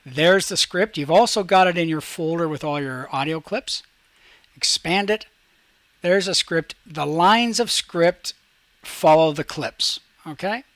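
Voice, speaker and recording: A man teaching a class, talking casually through each step of a software tutorial and checking in as he goes. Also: on the high side, dry recording. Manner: talks through each step while demonstrating, checks in with "okay".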